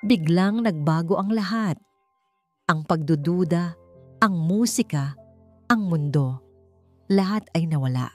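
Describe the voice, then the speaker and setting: A woman reads scripted, dramatic romance narration in a measured manner. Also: lowish voice. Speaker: story narrator. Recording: music behind the voice. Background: background music.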